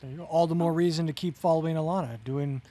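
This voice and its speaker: A man's voice: fairly high pitch, steady tone, fast talker, mellow. A man hosting a casual interview, asking questions in an upbeat, encouraging and conversational manner. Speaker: podcast host, interviewer.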